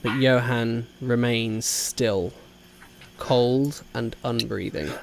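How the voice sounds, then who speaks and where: A British man narrating an improvised fantasy game as game master, measured and dramatic, delivering it phrase by phrase. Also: medium pitch, steady tone, gravelly, very noisy.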